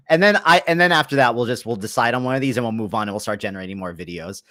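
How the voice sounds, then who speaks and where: A man hosting a casual livestream, chatty and excitable, repeating himself as he experiments. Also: medium pitch, fast talker, dry recording, studio quality. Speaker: livestream host.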